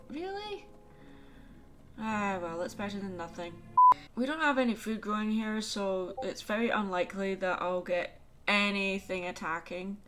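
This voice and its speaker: A woman's voice: mid-range voice, fairly bright tone. A young woman chatting casually and thinking aloud while playing a game. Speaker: let's play host. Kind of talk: casual gameplay commentary.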